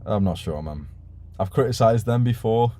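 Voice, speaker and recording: A man whose first language is Urdu, hosting a casual livestream and reading out donation messages, relaxed and sprinkled with swearing and "bro". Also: on the low side, moderate pace. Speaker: livestream host.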